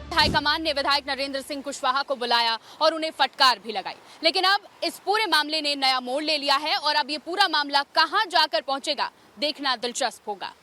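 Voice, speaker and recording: A woman reading a scripted news report in the manner of a reporter. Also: high-pitched. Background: music bed.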